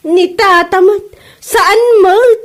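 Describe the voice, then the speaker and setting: Woman playing high-voiced, fretful Ilocano chili-oil seller. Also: steady tone, slow pace. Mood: angry, aggrieved. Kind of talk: comedic drama dialogue.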